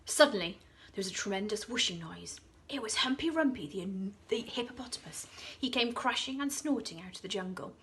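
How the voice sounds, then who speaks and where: An English woman, an infant school teacher, reading a children's story aloud, dropping into hushed whispers for suspense. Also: mid-range voice, animated, a little fast.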